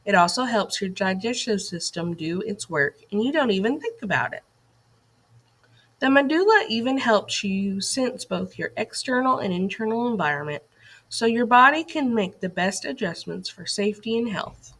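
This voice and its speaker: Woman reading aloud with lively, varied pitch. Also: normal speed. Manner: instructional, addressing young listeners with questions and directions. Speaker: teacher reading a lesson aloud. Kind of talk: scripted educational read-aloud for children.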